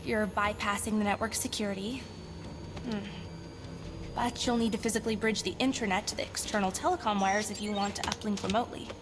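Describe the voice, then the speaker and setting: A woman's voice: mid-range voice, steady tone, fairly quick, noisy background, natural voice. A woman playing a clever, curious teenage girl in a video game, confident and matter-of-fact. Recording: music behind the voice.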